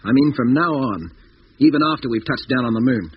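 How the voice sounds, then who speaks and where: An actor playing a stubborn, sceptical scientist on a spaceship crew in a 1950s radio drama, argumentative, dismissive and emphatic. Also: male voice, mid-range voice, brisk, lightly acted. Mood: insistent. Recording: dull, hissy 1950s radio recording.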